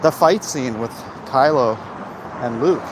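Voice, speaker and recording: A man chatting casually and giving opinions in a halting way, as if hosting a livestream. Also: mid-range voice, background noise. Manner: halting, frequent throat clearing. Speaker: livestream host answering viewer comments.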